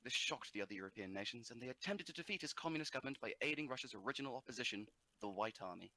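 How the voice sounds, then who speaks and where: A man's voice: medium pitch, animated, very fast, pushed and bright. A man reading scripted history narration as a narrator, sometimes stumbling over words.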